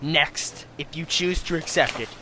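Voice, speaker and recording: A man's voice: on the high side, measured pace. A man giving comic instructional narration in a folksy, theatrical, emphatic way, with noise behind him. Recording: noisy background hiss.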